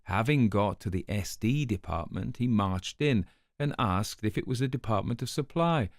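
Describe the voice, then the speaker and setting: A man's voice: fairly low pitch, animated, moderate pace, dry recording. An actor playing an urbane, sardonic older man telling a story in a radio drama, with dry irony and precise wording. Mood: dry amusement.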